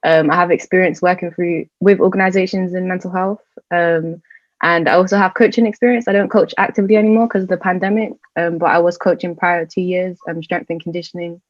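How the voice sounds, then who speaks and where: A woman's voice: fairly low pitch, fairly even intonation, a little fast, no echo, lo-fi sound. A young woman with a British accent chatting casually as a podcast guest on a video call. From born in Venezuela, in the UK from age three. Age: young adult. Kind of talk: casual introduction and discussion. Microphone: remote video call.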